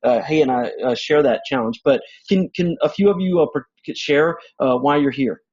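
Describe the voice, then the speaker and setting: A man from Louisiana speaks at a conference session with a loud, chatty delivery and often restarts his words. His voice has a husky edge. The recording is dull and lo-fi. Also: medium pitch, normal speed. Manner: loud, chatty, repeats word starts. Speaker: conference presenter. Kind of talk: conference session talk, audience participation.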